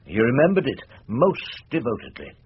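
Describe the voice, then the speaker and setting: A man's voice: medium pitch, huge pitch swings, normal speed, breathy edge, phone-quality sound, heavily acted. An actor playing a wily older British male barrister in a radio drama, with a wry, courtly, rhetorical manner. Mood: smoothly persuasive.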